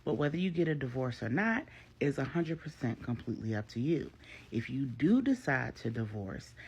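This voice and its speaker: Composed, husky woman attorney as a storyteller plays her. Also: medium pitch, darkish tone.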